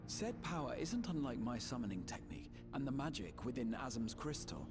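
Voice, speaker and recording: An actor voicing a learned, grave mage in a video game, formal, deliberate and dramatic. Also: male voice, mid-range voice, big pitch swings, over loud music, close to an everyday voice. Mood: pondering, troubled.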